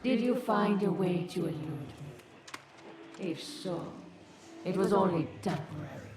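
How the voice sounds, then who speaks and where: A menacing villainess in a fantasy game. Her voice is lowish and slow, taunting with cold control. It echoes heavily amid clear background noise. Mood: cold menace. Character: menacing, possessive female villain, coldly controlling. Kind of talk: fantasy game cutscene acting. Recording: echoing voice over noisy ambience.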